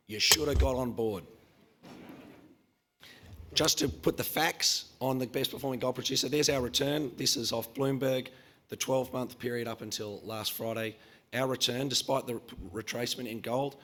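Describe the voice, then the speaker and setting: A man's voice: medium pitch, fairly bright tone. A man, losing his voice, giving a brash, confident conference presentation as a mining company chief. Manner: brash, confident, quick-fire delivery. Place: conference hall.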